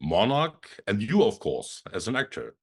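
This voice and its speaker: Deep-voiced halting interviewer. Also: male voice, wildly swooping pitch, vocal fry, breathy edge. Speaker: interviewer, host. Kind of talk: interview questions.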